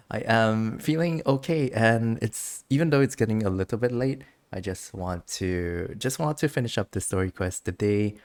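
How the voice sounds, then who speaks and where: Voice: medium pitch, a little slow, a little mellow, no echo. A streamer chatting casually to viewers over gameplay in a giggly, rambling way, reading game text aloud at times.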